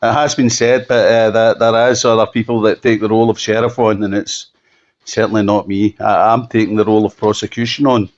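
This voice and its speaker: Low-voiced Scottish man on a dull phone line. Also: flat delivery. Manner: halting, repetitive, emphatic restatements. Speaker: campaigner being interviewed. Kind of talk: unscripted phone interview. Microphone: telephone.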